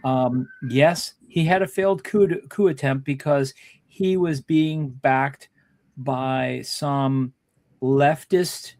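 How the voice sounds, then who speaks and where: A man's voice: medium pitch, slow pace, a little mellow, no echo. A man hosting a casual political chat, explaining history at length in a rambling way. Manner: rambling explainer, many fillers and restarts.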